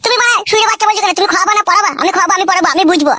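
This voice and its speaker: A woman's voice: very high-pitched, rapid-fire, sharp tone, no room sound, clean studio sound. A woman voicing a stubborn, sulky young village man in a comic cartoon, grumbling and defiant, with a rural West Bengal accent. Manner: grumbling, defiant, crude back-talk.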